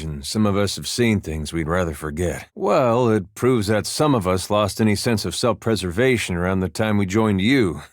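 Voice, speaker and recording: An actor playing an easygoing, wry farmer turned war veteran adventurer in a video game, with a folksy, drawling, dryly humorous delivery. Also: male voice, lowish voice, no room sound, clean studio sound, natural voice. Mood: wry, laid-back. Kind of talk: video game character dialogue.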